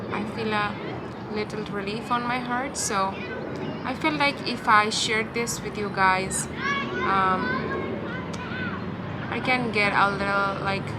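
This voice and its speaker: A young woman speaks with a Bangladeshi accent at a middling pitch, confiding in a halting, personal way as if to viewers she trusts. She is recorded outside in a park, with clear ambient and vehicle noise around her voice. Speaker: vlogger. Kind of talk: personal talk-through to camera. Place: outdoors in a park. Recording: outdoor park noise, distant traffic.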